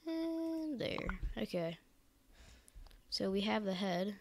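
Croaky-voiced sick teen girl. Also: mid-range voice, very animated, slow pace, noisy background. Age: teens. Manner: rambling, hesitant, quietly self-correcting. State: croaky from illness. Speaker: game tutorial narrator. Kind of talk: casual building tutorial commentary.

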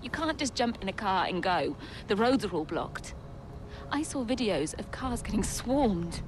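Self-absorbed star, mid-pitched, sardonic, cynical, video game cutscene, faint background noise. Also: female voice, sing-song, unhurried. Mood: gloomy, cynical. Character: jaded, self-absorbed female celebrity stranded in zombie apocalypse.

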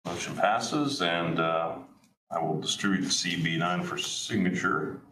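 A middle-aged man chairing an election board meeting in a measured, procedural way, recorded from afar in a county meeting room. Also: low-pitched, sharp tone. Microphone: far room camera. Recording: echoey room, distant mic, noisy.

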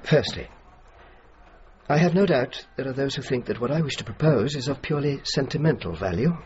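An actor playing a courteous, dutiful English civilian official in a radio drama, formal and measured, with an educated English accent. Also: male voice, medium pitch, animated, a little mellow, lightly acted. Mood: earnest, insistent. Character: earnest, dutiful middle-aged English civilian official, courteous yet insistent. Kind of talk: radio drama acting and reflective narration. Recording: slightly muffled, faint noise.

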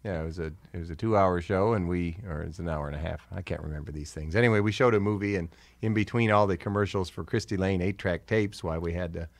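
A man's voice: low voice, quick pace, dry recording. An American man, a musician, chatting casually and jokingly in an interview.

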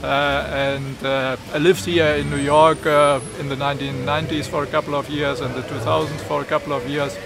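A man's voice: fairly high pitch, little pitch movement, a little fast, gravelly, hardly any pauses, crowd noise. An older man from Germany with a German accent, a park volunteer speaking hesitantly with many filler sounds in an outdoor street interview. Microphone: camera mic held by interviewer.

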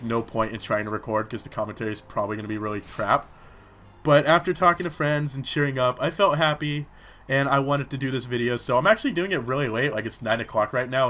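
Young man, sore throat, lively pitch, let's-play commentator, rambling and chatty. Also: a little hiss or hum. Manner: rambling, chatty, with many fillers. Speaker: gaming commentator.

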